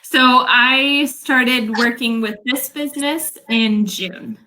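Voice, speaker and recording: Young woman, from Utah, fairly high voice, very bright tone, earnest explaining, casual interview. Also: slow, poor audio. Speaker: English language coach, guest.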